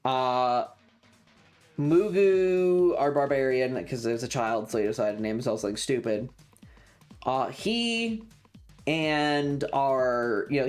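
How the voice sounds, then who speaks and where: A streamer telling a story casually and emphatically to viewers, with frequent swearing. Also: mid-range voice.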